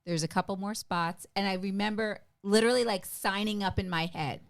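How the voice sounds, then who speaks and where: A woman's voice: medium pitch, brisk, vocal fry. A middle-aged woman telling stories casually as a podcast guest, chatty and emphatic with an enthusiastic way of talking. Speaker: podcast guest, stand-up comedian. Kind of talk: casual conversational storytelling.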